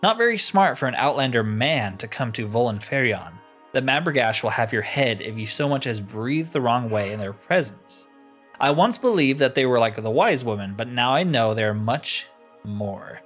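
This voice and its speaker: A man's voice: mid-range voice, animated, moderate pace, a bit bright, faint music behind. Man voicing a wary, grave tribesman.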